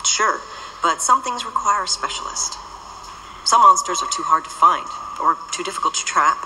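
A woman's voice: lowish voice, lively intonation, moderate pace, lightly acted. Laconic mercenary monster hunter, played by a woman; mocking, noisy track. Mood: cool. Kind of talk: radio drama acting. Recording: noisy radio drama track.

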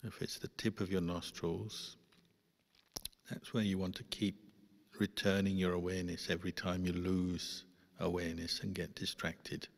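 Very deep-voiced man guiding meditation in reverberant hall. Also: creaky. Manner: calm, measured, with long pauses. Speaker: Buddhist monk meditation teacher. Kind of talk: guided meditation instruction.

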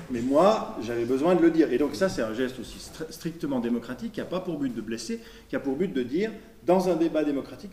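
A middle-aged man from Switzerland replying spontaneously in a debate, halting and repeating words. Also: mid-range voice, a little mellow, a little hiss or hum. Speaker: writer and panelist. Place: small dim event venue with audience. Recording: echoey room, distant mic.

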